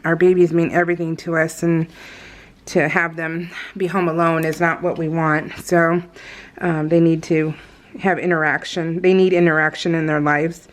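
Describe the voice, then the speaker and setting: A woman's voice: sits low, level tone, moderate pace. A woman craft vlogger chatting casually in a giggly, meandering way as she goes through her scrapbook pages. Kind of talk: casual rambling chat over scrapbook pages.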